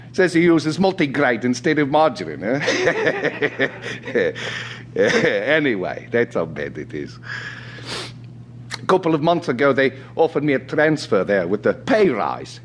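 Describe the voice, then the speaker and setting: An actor playing a restless, talkative South African ex-soldier in an audio drama, chatty and colloquial. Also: male voice, fairly high pitch. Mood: jovial griping. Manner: chatty, colloquial, punctuated by sighs and short laughs. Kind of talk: audio theatre acting.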